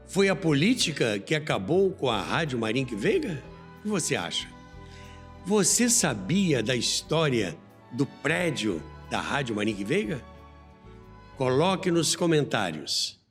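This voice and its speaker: A Brazilian man hosting and narrating a scripted history documentary in a dramatic, nostalgic storytelling manner. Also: fairly high pitch. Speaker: podcast host and narrator. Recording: long reverb, faint music behind.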